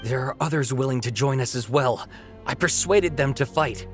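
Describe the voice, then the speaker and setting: A man's voice: medium pitch, normal speed, close to an everyday voice. A man playing an earnest, brave young civilian volunteer in a video game, speaking with determination. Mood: determined. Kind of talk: dramatic video game acting. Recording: game music behind the voice. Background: video game music.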